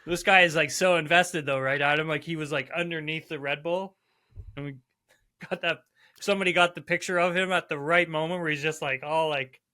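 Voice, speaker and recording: Co-host, 30s male, ex-driver; lively, chatty, filler-heavy, bright tone. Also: a little high, brisk. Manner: animated, chatty, many "like" and "right?" fillers. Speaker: co-host, former racing driver. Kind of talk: casual podcast chat. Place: indoor room. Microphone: headphones, video call.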